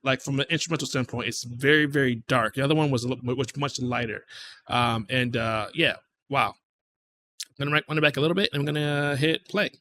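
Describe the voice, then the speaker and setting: Male reaction host, medium pitch, casual commentary, halting, thinking aloud in broken phrases. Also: pushed and bright, a little hiss or hum.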